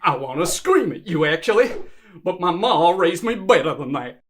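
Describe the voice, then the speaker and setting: Melodramatic musician customer for animation played by a woman, high, swooping voice, easily wounded, faint noise. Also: animated, measured pace, a bit bright. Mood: wronged, upset. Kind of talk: animated cartoon dialogue.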